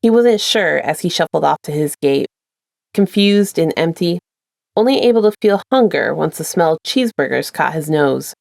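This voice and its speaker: A woman's voice: deep voice, lively intonation, normal speed, creaky, a little mellow, no room sound, clean studio sound. A woman presenting and reading her own fan fiction as a podfic narrator, in an informal storytelling style. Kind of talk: casual intro and scripted story narration.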